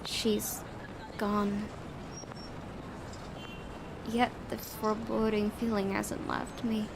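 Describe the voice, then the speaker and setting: A woman's voice: mid-range voice, completely flat delivery, very slow. A woman hosting a gaming video, reading a visual novel aloud and commenting casually. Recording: clearly noisy home recording.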